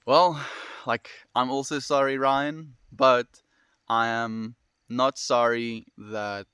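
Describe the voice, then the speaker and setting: A man in his twenties with a South African accent, chatting casually and fast with viewers on a livestream. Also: medium pitch, level tone, sharp tone, clean tone, no room sound, clean studio sound. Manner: fast-talking, friendly, reads and answers comments.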